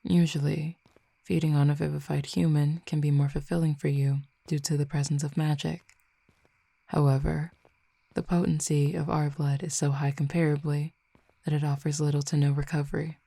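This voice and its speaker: Woman doing a low gravelly fallen cupid, bossy and teasing, slow and close, drops to whispers. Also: level tone, dry recording. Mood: calm explaining. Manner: slow, measured, intimate, with soft whispered stretches. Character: commanding, teasing fallen Cupid, not human. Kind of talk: audio roleplay addressing the listener.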